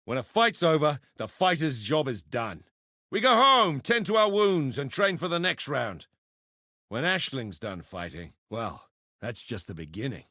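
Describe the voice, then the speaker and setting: A man's voice: mid-range voice, pushed and bright, dry recording. A roguish pit fighter from a video game, swaggering and slangy. He talks like a theatrical, cheeky schemer with a tough edge. The recording is dry and close. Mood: exasperated, heated, then grave, resigned. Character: roguish adult male arena fighter and pitmaster turned syndicate member. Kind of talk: video game character voice lines.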